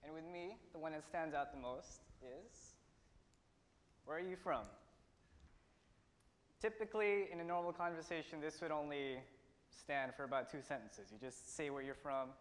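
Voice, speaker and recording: Young man telling a story in a hall. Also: on the high side. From India. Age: teens. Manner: earnest storytelling with pauses and restarts. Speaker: student speaker. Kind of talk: prepared personal talk. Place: auditorium stage. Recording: long hall reverb.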